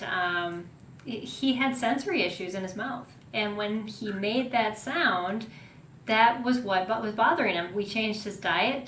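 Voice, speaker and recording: A woman hosting a podcast, chatting casually, laughing often and giving many small affirming replies. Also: fairly bright tone. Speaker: podcast host. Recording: roomy echo with faint rumble.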